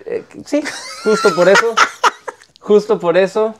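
A man's voice: sits high, lively intonation, measured pace, very noisy. A man in his thirties from Mexico with a Mexican accent, chatting on a studio podcast, often stumbling over words, repeating himself and laughing. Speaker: podcast co-host. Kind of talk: casual chat. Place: small studio with brick-wall set. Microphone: clip-on lapel mic.

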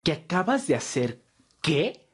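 A man's voice: a little high, very animated, unhurried, husky, exaggerated voice. A man playing a principled, distraught vampire in an audio roleplay, pleading and indignant in manner. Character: distraught, principled male vampire challenging his leader. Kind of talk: ASMR audio roleplay acting.

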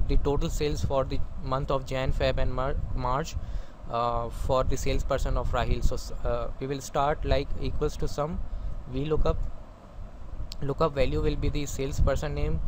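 A man you'd hear on a homemade online software lesson, feeling his way through each step haltingly while noisy ambience runs behind him. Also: medium pitch. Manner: halting, with very frequent hesitations. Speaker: instructor. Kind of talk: spoken software tutorial over screen recording.

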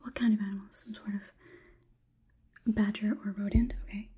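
A young woman whispering an ASMR roleplay close to the mic as a coolly logical robot overlord, in a halting, clinical, deliberate way. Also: mid-range voice, flat delivery, warm tone. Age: young adult. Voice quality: breathy, unvoiced whisper. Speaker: ASMR performer. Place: small indoor room. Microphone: close microphone.

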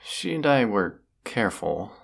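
A brooding villainous wizard of the woods, acted by a man for a fantasy audio roleplay. His voice sits low with a creaky, gravelly edge. He speaks slowly and formally, with stern deliberation. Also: big pitch swings, heavily acted. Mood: curt, impatient.

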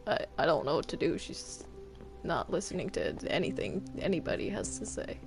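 A woman chatting casually in a game roleplay, with a hesitant, halting way of talking. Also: low-pitched, very animated, vocal fry. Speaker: player in a Minecraft roleplay server. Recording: music behind the voice. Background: music.

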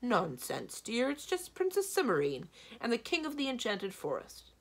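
A woman voicing a bossy, practical giantess wife in a storybook, brisk and scolding in manner. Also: fairly high pitch, wildly swooping pitch, vocal fry, husky, pitched above the performer's own voice, heavily acted.

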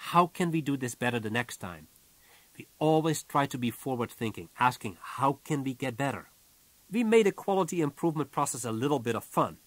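A man narrating a nonfiction audiobook from a script in a measured, businesslike way. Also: mid-range voice, fairly bright tone, no room sound. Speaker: author reading own audiobook.

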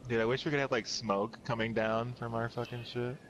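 A man's voice: mid-range voice, flat delivery, brisk, a bit bright, lo-fi sound. A man in casual gaming chat, calling out to teammates in slangy, profane language. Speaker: video game podcast member playing online. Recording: noisy game voice chat with clicks.

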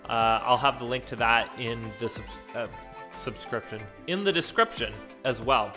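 A man's voice: medium pitch, normal speed, music in the background. A young man hosting a casual travel podcast from home, talking upbeat and eager with a few fillers, into a stand mic. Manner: upbeat, enthusiastic intro with fillers. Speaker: podcast host. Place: living room at home. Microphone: studio mic on stand with headphones.

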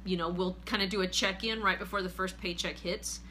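A woman in her forties hosts an earnest, steady advice chat from a living room couch, facing a camera. Her voice sits low yet bright and forward, with a faint hum in the room. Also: a little fast. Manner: earnest, steady, reads viewer comments aloud. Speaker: host, finance group leader. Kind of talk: casual advice talk.

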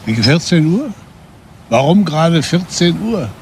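A man's voice: on the high side, very animated, a little slow, darkish tone, slight background noise. A male actor playing a stern, indignant retired father in a German crime radio play, curt and defensive. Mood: curt, wary. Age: older.

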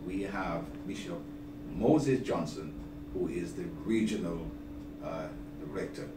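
Older male bishop, halting and deliberate interviewee, distant mic in an echoey room. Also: low-pitched. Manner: deliberate, halting, repeats words. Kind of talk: interview, inviting the public to an event. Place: small office, seated at a desk. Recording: roomy, distant mic, clear background noise.

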